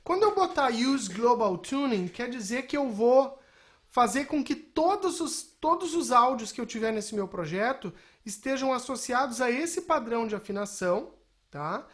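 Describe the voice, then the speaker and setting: Higher-voiced woman teaching. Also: expressive, moderate pace. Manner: explanatory, often checking in with short tag questions. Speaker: music technology teacher. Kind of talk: informal spoken software lesson.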